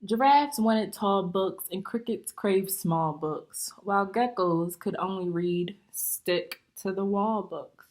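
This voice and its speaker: Slow-paced woman reading aloud to children. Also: mid-range voice, darkish tone. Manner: deliberate, instructive, pausing to explain and ask questions. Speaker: teacher reading aloud to students. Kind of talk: picture book read-aloud with explanations and questions for children.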